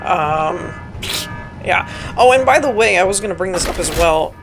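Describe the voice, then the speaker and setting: A woman with a hoarse, raspy voice from a cold, giving casual, rambling gameplay walkthrough commentary as host of her channel, with frequent ums and self-deprecating jokes. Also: on the low side, animated, normal speed, over music, poor audio.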